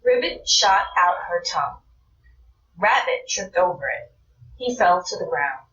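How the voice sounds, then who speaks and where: A woman reading a children's story aloud in a deliberate, expressive narrating style. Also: lowish voice, lo-fi sound. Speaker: storybook reader. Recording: roomy, distant mic, faint noise.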